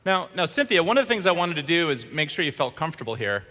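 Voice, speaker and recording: A man's voice: fairly high pitch, sing-song, fast talker, bright, forceful tone. A man presenting a tech keynote on stage and interviewing a guest, upbeat and jokey, often restarting his phrases. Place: large conference hall stage. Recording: long hall reverb.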